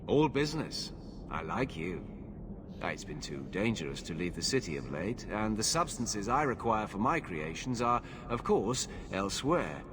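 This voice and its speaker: A man's voice: lowish voice, sing-song, moderate pace, noisy background. A man playing an eager, courteous alchemist shopkeeper in a fantasy game, effusive and theatrical with hesitant asides. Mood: welcoming, eager. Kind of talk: dramatic game cutscene acting.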